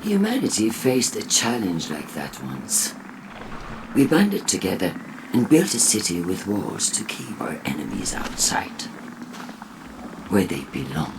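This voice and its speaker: A woman playing a cold, haughty human faction leader in a video game, measured and pointedly disdainful. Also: a bass voice, big pitch swings, background noise, exaggerated voice. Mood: scornful. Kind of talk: video game cutscene acting. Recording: loud music behind the voice.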